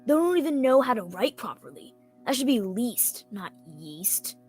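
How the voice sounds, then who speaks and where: Young woman acting exasperated, husky small-town sheriff. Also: a little high, big pitch swings, moderate pace, a little mellow, faint music behind. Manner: blunt, exclamatory. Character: exasperated small-town sheriff, older gray-haired man. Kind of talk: radio theatre acting.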